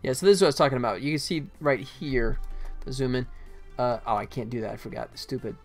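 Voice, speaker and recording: A man's voice: medium pitch, quick pace, faint music behind. A middle-aged man gives casual live commentary as a game developer, thinking aloud in short fragments. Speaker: game developer livestreaming his work.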